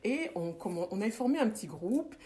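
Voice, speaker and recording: A woman's voice: mid-range voice, sing-song, a little fast, mellow. A woman from southern France with a French accent, telling her own story as an interview guest, often repeating phrases for emphasis. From born in DR Congo, grew up in southern France. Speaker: interview guest, nurse and ritual practitioner.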